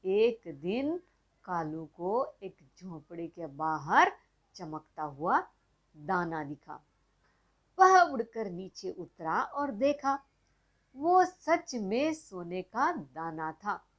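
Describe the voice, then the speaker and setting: A woman narrating a moral bedtime story for children, speaking gently and addressing the kids directly. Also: medium pitch, very animated, extremely slow.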